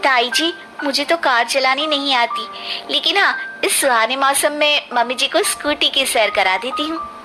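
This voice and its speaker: A woman's voice: sits high, moderate pace, over music, voice pushed up, natural voice, rough recording. A woman voicing a sweet, considerate young daughter-in-law in a cartoon family drama, polite and. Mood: sweet, teasing.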